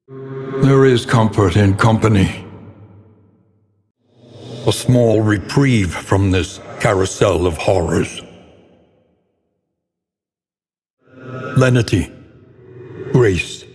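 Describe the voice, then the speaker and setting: A man playing a grave, brooding narrator of a gothic horror game, speaking with solemn, theatrical menace in a husky, gravelly voice. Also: on the low side, darkish tone. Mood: solemn, measured. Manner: solemn, ominous, theatrical, measured delivery. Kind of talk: scripted dramatic game narration. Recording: long cavernous reverb.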